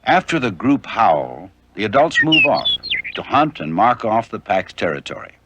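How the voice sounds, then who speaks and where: A man narrating an educational nature documentary in a measured, informative way. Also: lowish voice, very noisy. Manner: measured, even, informative delivery. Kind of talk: scripted narration.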